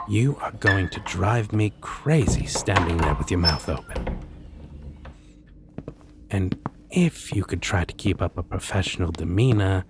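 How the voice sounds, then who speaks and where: Man playing a theatrical, low-voiced suave supervillain. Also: sing-song, measured pace, pauses often, noisy background, full character voice. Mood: exasperated, condescending. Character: theatrical, stately, amused supervillain in costume interviewing a minion. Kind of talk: ASMR roleplay acting.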